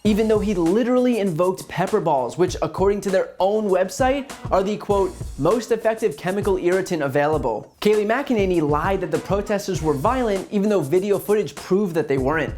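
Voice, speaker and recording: A man's voice: high-pitched, animated, a little fast, hardly any pauses, darkish tone. A man delivering scripted political commentary as a host, in a pointed, sardonic manner. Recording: music under the voice. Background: music bed.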